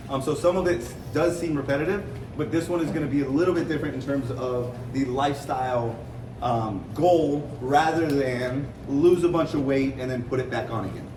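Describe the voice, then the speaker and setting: American man coaching in a noisy, echoey room. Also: mid-range voice. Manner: conversational, rambling, explains with analogies. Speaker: fitness coach leading a seminar. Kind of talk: informal seminar talk to a group. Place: room with an audience, mic at a distance. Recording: roomy, distant mic, clear background noise.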